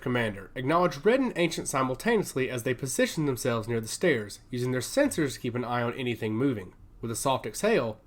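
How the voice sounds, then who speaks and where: A narrator reading dramatic science fiction in an expressive, scripted storytelling style. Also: medium pitch.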